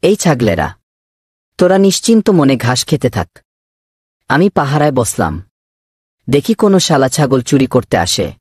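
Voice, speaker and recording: A man's voice: mid-range voice, big pitch swings, moderate pace, pauses often, darkish tone, husky, no room sound, studio quality. A man playing a blustering, tough-talking village goat watchman in a comedy cartoon. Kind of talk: cartoon voice acting.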